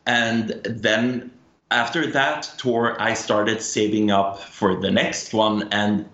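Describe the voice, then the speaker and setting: A man's voice: on the low side, fairly even intonation, slow pace, a bit bright, reverberant, muffled recording. A man from Denmark with a Danish accent, a guest in a casual interview chat, whose first language is Danish and who searches for English words with many fillers. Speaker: guest tour guide.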